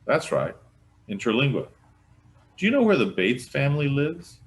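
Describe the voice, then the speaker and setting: Man reading as a commanding small-town sheriff, raised pitch. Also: sing-song, moderate pace, a little hiss or hum, close to an everyday voice. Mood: firm, businesslike.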